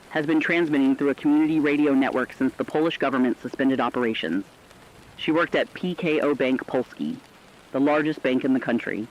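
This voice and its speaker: A man playing a somber, deliberate radio narrator of a collapsing world, delivering scripted dramatic documentary in short emphatic phrases. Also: mid-range voice, close to an everyday voice. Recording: dull lo-fi radio with hiss, rain.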